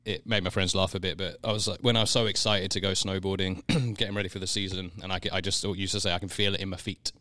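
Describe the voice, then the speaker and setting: A man's voice: deep voice, flat delivery, fast, hardly any pauses, pushed and bright, no room sound. A man co-hosting a casual podcast, talking in a laid-back, rambling way with lots of fillers. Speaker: podcast co-host.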